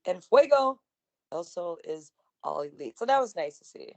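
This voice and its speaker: A woman with a slightly raspy voice, co-hosting a wrestling podcast in enthusiastic, chatty conversation. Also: fairly low pitch, sing-song, normal speed. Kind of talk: casual wrestling recap chat.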